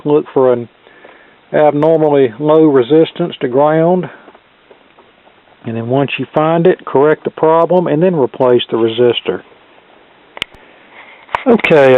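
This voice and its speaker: A man giving a hands-on repair tutorial as an instructor, explaining each step in a methodical, measured way. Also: fairly high pitch, dry recording. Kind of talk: hands-on repair tutorial, talking through steps. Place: indoor cluttered electronics workbench. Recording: thin phone-like band-limited sound.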